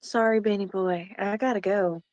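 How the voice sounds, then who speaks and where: A woman's voice: mid-range voice, fairly even intonation, moderate pace, a little mellow, dry recording, close to an everyday voice. A woman playing a flirty, evasive nurse, coy and teasing, in a table read with muffled audio.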